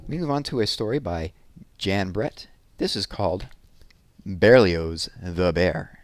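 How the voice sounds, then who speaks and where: Low-voiced man reading children's stories aloud. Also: dry recording. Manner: expressive, deliberate reading with conversational asides. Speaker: read-aloud host reading children's books. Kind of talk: storytelling read-aloud of poems and picture books.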